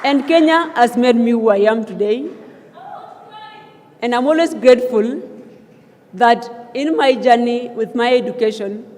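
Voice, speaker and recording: A woman's voice: a little high, unhurried, pauses often, darkish tone. A young woman from South Sudan giving an earnest, emphatic acceptance speech as a newly elected student association leader, rising to calls of thanks. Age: young adult. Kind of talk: acceptance speech at a swearing-in ceremony. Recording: long reverb of a large hall.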